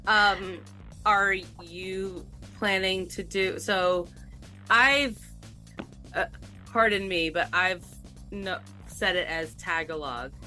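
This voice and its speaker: A woman's voice: mid-range voice, sharp tone, soft background music. A woman hosting a radio interview, asking easygoing questions and chatting along with her guest. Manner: slow, easygoing questions with laughs. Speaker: radio host. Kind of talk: casual interview.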